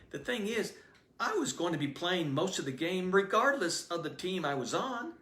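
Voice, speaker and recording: A man's voice: on the high side, fairly bright tone, recorded from a distance. A man voicing a shy, bashful teenage boy who stammers and hesitates, read aloud in an echoey room.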